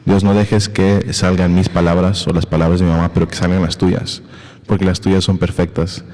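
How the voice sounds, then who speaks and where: A man's voice: low-pitched, little pitch movement, normal speed, warm tone, in a large hall. A male podcast host talking casually and hesitantly, with many fillers and English words mixed in. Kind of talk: casual conversational interview.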